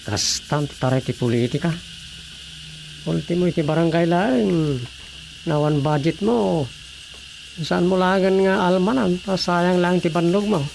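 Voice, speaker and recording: An actor with an Ilocano accent playing a folksy, comic small-town mayor and husband in a radio drama comedy. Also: male voice, sits high, a little slow, creaky, darkish tone. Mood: grumbling, comic. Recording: noisy background with cricket-like ambience.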